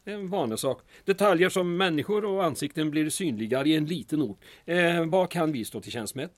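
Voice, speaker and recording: An actor playing a guarded small-town mayor in an audio drama, formal and precise, with slight hesitations and a pedantic care for detail. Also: male voice, a little high, expressive, no echo, close to an everyday voice. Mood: wary, polite.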